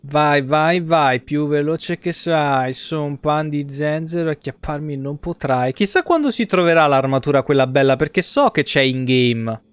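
Male streamer, 30s, smooth close dry sound, informal, joking, free with swearing. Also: a little high, unhurried, hardly any pauses, dry recording. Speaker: live streamer. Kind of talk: casual gameplay commentary. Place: indoor room, seated at a desk.

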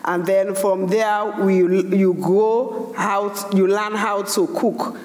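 A woman's voice: mid-range voice, flat delivery, slow, darkish tone. Elder woman, plain-spoken panelist, roomy long reverb. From Sierra Leone. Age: older. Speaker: panel guest, community elder. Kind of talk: panel discussion. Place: large hall on stage. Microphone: headset mic. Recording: echoey hall reverb.

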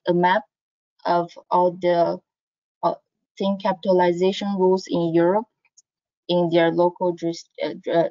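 Hesitant, mellow low-voiced woman presenting. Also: no room sound. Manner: hesitant, halting phrasing. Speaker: webinar presenter. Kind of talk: slide presentation. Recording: dull, dry online webinar audio.

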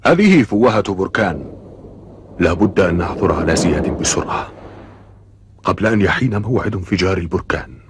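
A man's voice: deep voice, lively intonation, brisk, pauses often, a little hiss or hum. A man playing a stern, anxious middle-aged father in an Arabic cartoon dub, speaking formally and firmly. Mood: urgent. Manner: firm, admonishing, formal diction.

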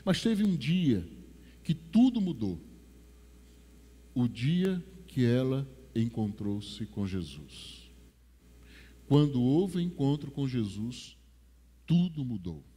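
A man in his forties from Brazil, with a Rio de Janeiro accent, preaching a sermon on a church stage through a headset mic, building to strong emphasis and then dropping to slow, hushed lines. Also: medium pitch, big pitch swings, husky. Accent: Rio de Janeiro Portuguese. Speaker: pastor. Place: large church stage with a drum kit. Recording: long church reverb.

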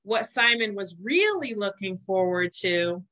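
A woman's voice: medium pitch, sing-song, a little slow, pushed and bright. A middle-aged American woman reading a children's picture book aloud as a storytime reader. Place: small room indoors. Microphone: webcam video call.

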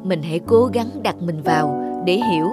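A woman's voice: on the low side, lively intonation, moderate pace, close to an everyday voice. A woman voicing a patient, kindly young waitress in a cartoon, speaking politely and reassuringly. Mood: thoughtful. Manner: courteous, gently reassuring. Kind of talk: animated cartoon dubbing. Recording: music behind a close dry voice.

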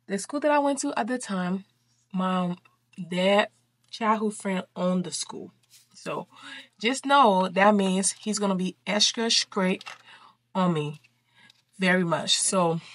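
A woman's voice: mid-range voice, fairly bright tone, no echo. Chatty young woman from Liberia, dry sound. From Liberia, West Africa. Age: young adult. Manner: informal, slangy, emphatic repetition. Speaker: vlogger. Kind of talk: casual chatty storytime while doing makeup. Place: small furnished bedroom, indoors.

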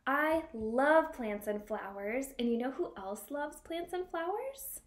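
Young lady doing kids storytime, high voice, slow and sing-songy, really animated. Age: young adult. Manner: animated, sing-song, exaggerated for young children. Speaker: children's read-aloud teacher. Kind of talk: picture-book read-aloud for young children with sign lessons. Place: small room at home by a window.

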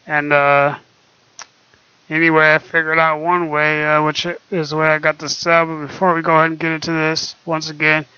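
A young man talking quietly and hesitantly with many fillers, recorded on his laptop microphone at home. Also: on the high side, completely flat delivery, moderate pace, clean tone, dry recording. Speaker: vlogger. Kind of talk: casual screen-recorded talk. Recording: dull lo-fi laptop mic.

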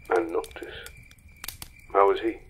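An actor playing a tender older king and father in an audio drama, gentle, measured and formal. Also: sits low, a little hiss or hum, exaggerated voice.

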